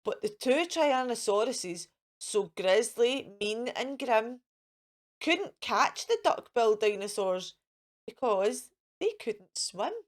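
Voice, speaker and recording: Woman, picture-book reader, sing-song, highly expressive, unhurried, talking to young children. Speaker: children's storytime host and reader.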